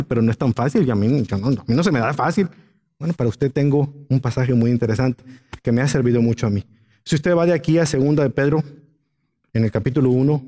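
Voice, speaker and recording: A man's voice: medium pitch, dark tone. A man preaching a Bible-teaching sermon as a pastor, emphatic and illustrating his points with everyday examples. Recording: long hall reverb.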